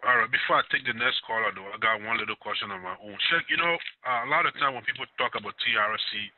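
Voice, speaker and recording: A man's voice: mid-range voice, fast talker, no echo. A man hosting a call-in radio show, announcing the schedule and taking callers. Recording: phone-quality radio audio.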